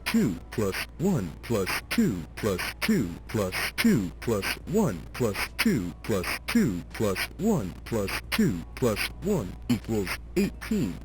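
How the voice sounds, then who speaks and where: A man's voice: fairly low pitch, wildly swooping pitch, extremely slow, hardly any pauses, exaggerated voice, rough recording. A man playing a wry, theatrical narrator in a fan-dubbed webcomic, with an arch scripted delivery. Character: deadpan, wry omniscient game-style narrator. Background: music.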